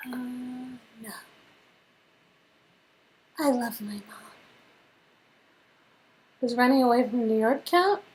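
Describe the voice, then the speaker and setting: A woman voicing a quiet, literal-minded young science geek in a story game, soft-spoken and sincere. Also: a little high, slow pace, long pauses. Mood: earnest.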